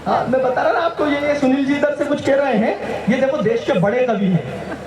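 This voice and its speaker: High-voiced man doing comic stage poetry. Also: brisk, darkish tone. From Madhya Pradesh, India. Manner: playful storytelling with punchlines. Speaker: stage poet. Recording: echoey live PA sound from far off, crowd noise.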